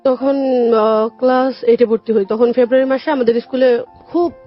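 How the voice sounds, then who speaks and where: A woman's voice: fairly high pitch, fairly even intonation, moderate pace. A young woman with a Bangladeshi Bengali accent telling her life story in a colloquial way as a guest in a radio interview, often retelling conversations as dialogue. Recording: dull lo-fi radio audio. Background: music.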